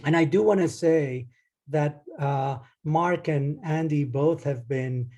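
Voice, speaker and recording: Mellow-voiced Argentine-born man lecturing. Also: on the high side, slow. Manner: relaxed, hesitant with frequent ums and restarts. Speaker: guest lecturer. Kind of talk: academic lecture over video call. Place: home or office, speaking into a computer. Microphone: video call.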